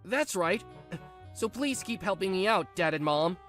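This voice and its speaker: Woman playing a low, smug young husband. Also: expressive, unhurried, a bit bright, soft background music.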